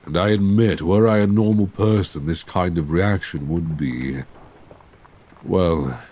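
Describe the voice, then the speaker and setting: A man playing a courteous, secretive Victorian gentleman vampire in an intimate ASMR roleplay, with formal, genteel diction and endearments. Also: low voice, unhurried. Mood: apologetic, restrained. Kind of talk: intimate ASMR audio roleplay, romantic drama. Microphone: close binaural microphone. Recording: binaural recording with clear background ambience.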